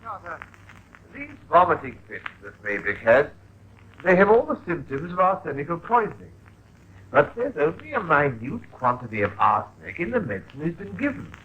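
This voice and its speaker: An actor playing an earnest, worried Victorian physician, speaking with urgent emphasis in 1950s radio drama style. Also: male voice, fairly high pitch, big pitch swings, normal speed. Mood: concerned. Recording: muffled old radio recording.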